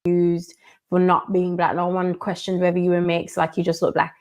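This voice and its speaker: A woman from the United Kingdom giving commentary in a measured, emphatic way, repeating her key phrases. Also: fairly low pitch, monotone. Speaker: commentator in a played video. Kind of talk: monologue commentary.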